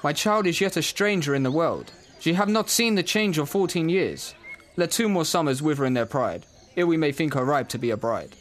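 A man playing a measured, hospitable noble father in a student Shakespeare audio play. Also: on the high side, lively intonation, slight background noise, lightly acted. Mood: cautious.

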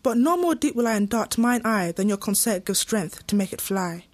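A woman's voice: mid-range voice, lively intonation, normal speed, no room sound, lightly acted. A woman playing a dutiful, demure thirteen-year-old girl in a student Shakespeare audio play.

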